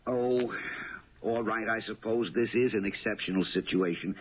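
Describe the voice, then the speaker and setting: An actor playing a polite, officious club attendant in a 1970s radio drama, formal and a little hesitant. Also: male voice, lowish voice, normal speed, husky. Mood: formal, reluctant. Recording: noisy narrow-band old radio broadcast.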